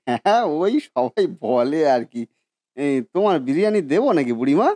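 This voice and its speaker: A man's voice: on the high side, big pitch swings, normal speed, dark tone, no room sound. A man voicing a good-natured, modest food seller who talks earnestly and hesitantly in a cartoon story. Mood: friendly. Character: humble, good-natured biryani seller and husband. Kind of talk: Bengali cartoon story voice acting.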